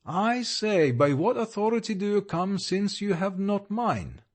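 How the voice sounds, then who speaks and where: Brief: stern, wrathful older gentleman in a storybook, read by a male narrator, somewhat high voice. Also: very animated, measured pace, exaggerated voice. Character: stern, haughty older man, a guardian.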